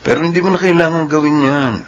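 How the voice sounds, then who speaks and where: A man's voice: on the high side, steady tone, fast talker, dull audio, slight background noise, lightly acted. A man playing a stubborn, bitter young man in a Filipino radio drama, speaking curtly and dismissively. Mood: defensive, weary. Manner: curt, dismissive.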